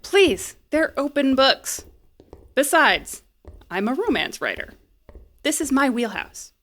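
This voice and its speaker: An actress playing a brash, quick-witted woman in an audio drama, sarcastic and bossy. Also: sits high, big pitch swings, a bit bright, full character voice. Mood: breezy. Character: brash, quick-witted woman, bluffing repairperson and romance writer.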